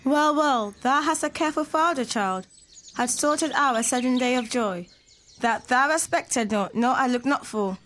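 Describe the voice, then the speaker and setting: A woman playing a composed, higher-voiced noble mother. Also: normal speed, a bit bright. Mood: brisk, pleased. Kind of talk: student Shakespeare audio drama. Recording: faint background noise and ambience.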